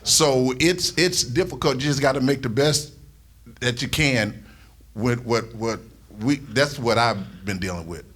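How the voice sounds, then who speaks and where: Man, bright forceful voice, medium pitch, sportscaster, casual storyteller, quotes dialogue. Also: a little slow, husky. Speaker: radio sports play-by-play announcer on a panel. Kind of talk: casual anecdote telling.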